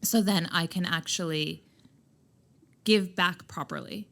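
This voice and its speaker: Loud low-ish woman guest, emphatic, laughs a lot, casual podcast chat. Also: measured pace. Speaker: skincare brand founder, guest. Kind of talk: casual interview conversation. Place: Nova Scotia, Canada.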